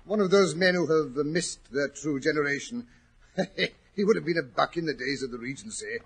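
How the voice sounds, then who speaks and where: An actor playing a genial, easily puzzled older English gentleman doctor in a 1940s radio drama, chatty and a little hesitant. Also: a little high, moderate pace. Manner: hesitant, chatty, old-fashioned exclamations. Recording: muffled, rough 1940s radio recording.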